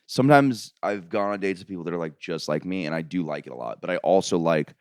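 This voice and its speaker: Low-voiced animated man from Florida. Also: fast, clean tone, no echo, studio quality. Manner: animated storytelling, jumps high to mimic people. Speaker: podcast host. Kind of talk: casual comedic chat.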